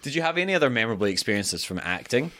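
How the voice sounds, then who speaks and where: A man in his thirties from East Lothian with a softened Scottish accent, chatting as a podcast guest, self-mocking and swearing freely. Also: medium pitch, big pitch swings, very fast. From Scotland, East Lothian. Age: 30s. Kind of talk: casual chat.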